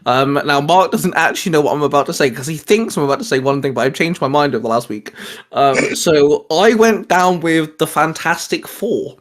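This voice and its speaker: A man chatting casually as a host pitching geeky ideas, rambling and restarting his sentences as he goes. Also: a little fast. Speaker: host of a comics discussion show.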